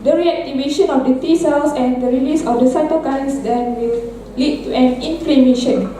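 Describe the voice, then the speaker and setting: A woman's voice: high voice, moderate pace, warm tone, a little hiss or hum. A young woman student earnestly explaining a skin disease in a class presentation, with a few restarts. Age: young adult. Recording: echoey room from far off.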